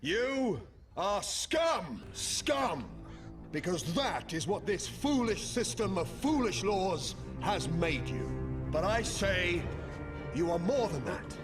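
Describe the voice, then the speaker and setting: A fiery villain warlord in a high, ringing pitch. He declaims grandly and commands his followers over very loud music. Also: sing-song, slow pace, heavily acted. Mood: scornful, rousing.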